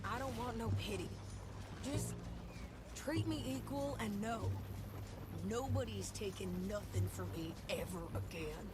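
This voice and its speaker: A woman's voice: mid-range voice, measured pace, lightly acted. An actress playing a tough, defiant female gunslinger in a game. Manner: defiant, brash. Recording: loud game music behind.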